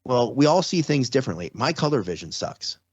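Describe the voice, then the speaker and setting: A man's voice: mid-range voice, big pitch swings, a little fast, breathy edge, no room sound. A man in his forties or fifties, a podcast guest, explaining ideas earnestly in casual talk with many restarts. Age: 40s or 50s. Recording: slightly dull lo-fi audio.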